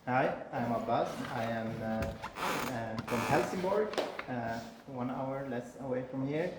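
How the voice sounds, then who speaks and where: A man from Lebanon, a designer and strategist, introducing himself on a panel with halting fillers. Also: mid-range voice. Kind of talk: live panel discussion. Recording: echoey room, faint noise.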